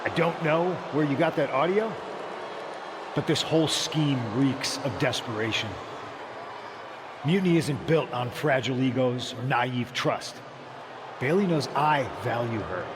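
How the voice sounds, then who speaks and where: A man's voice: mid-range voice, measured pace, pauses often, close to an everyday voice. An actor playing a smug, contemptuous rebel wrestling leader in a video game, mocking and condescending as he delivers a promo. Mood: scornful. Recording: very noisy arena sound.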